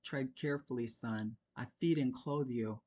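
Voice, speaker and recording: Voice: medium pitch, normal speed, mellow, natural voice. A storyteller voicing a playful father who teases his sons in a sing-song, mock-threatening way. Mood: doting. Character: playful father, jokey and doting.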